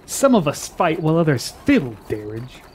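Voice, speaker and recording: A haughty young warrior prince of a fantasy radio play, acted by a man. His voice is high for a male and swings widely in pitch, proud and scornful in every phrase. Faint noise sits behind him. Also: wildly swooping pitch, moderate pace, darkish tone. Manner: haughty, dramatic. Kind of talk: radio drama acting.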